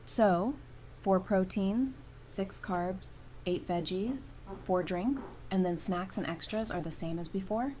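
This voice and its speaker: A woman's voice: mid-range voice, slow pace, darkish tone, lightly acted. A woman voice-acting a wry, affectionate apocalypse survivor in an audio drama, casual and dryly teasing. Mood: practical. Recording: noticeable background noise and ambience.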